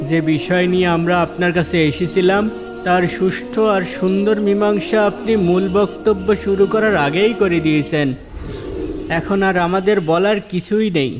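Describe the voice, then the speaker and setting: A man's voice: high-pitched, normal speed, music in the background, natural voice. A man playing an earnest, respectful villager in a moral cartoon, explaining himself politely. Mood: earnest, grateful. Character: earnest, respectful male villager seeking a judge's ruling. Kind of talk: Islamic moral cartoon dialogue.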